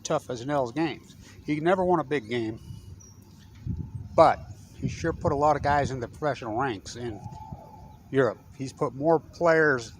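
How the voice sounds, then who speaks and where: An older man giving a rambling, blunt sports rant, laughing between remarks and punching out names loudly. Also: mid-range voice, a little hiss or hum. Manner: blunt, rambling, laughs mid-sentence, emphatic shouted names. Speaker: self-styled sports commentator. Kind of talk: rambling opinionated monologue.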